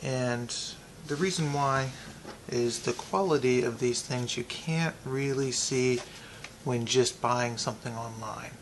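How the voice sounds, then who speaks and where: A man giving a casual, unscripted product review, thinking aloud in a halting way with pauses. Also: medium pitch, steady tone, a little hiss or hum, poor audio.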